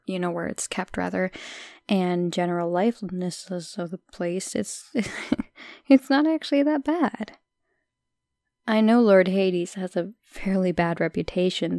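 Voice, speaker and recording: A young woman playing a shy, friendly, modest minor goddess in an audio roleplay, chatting hesitantly with soft asides. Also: medium pitch, creaky, dry recording, clean studio sound. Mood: chatty, candid.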